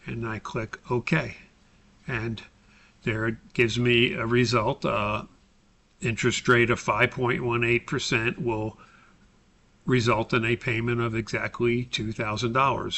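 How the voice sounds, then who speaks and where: Bright-voiced American man teaching a tutorial. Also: on the low side, little pitch movement, unhurried. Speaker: course instructor recording a tutorial for his class. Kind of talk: informal screen-recorded software tutorial, explanatory.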